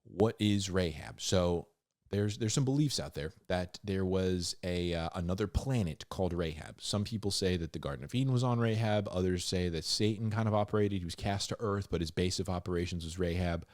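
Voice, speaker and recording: A man hosting a Bible reading, mixing scripture with informal, slangy commentary and animated asides. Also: deep voice, brisk, no room sound, studio quality. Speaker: host of a Bible reading show.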